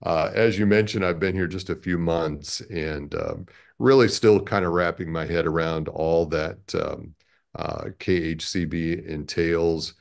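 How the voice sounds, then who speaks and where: Very low-voiced American man in his fifties. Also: steady tone, a little slow, vocal fry. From Chicago, American Midwest. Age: 50s. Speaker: radio network president, interview guest. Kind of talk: conversational interview. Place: indoor room, on a video call.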